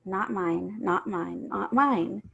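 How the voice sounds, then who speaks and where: Woman as a timid schoolgirl with creaky voice. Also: mid-range voice, fairly bright tone, lo-fi sound, heavily acted. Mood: timid protest. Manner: halting, pleading, repetitive. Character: upset, timid eleven-year-old schoolgirl, protesting meekly.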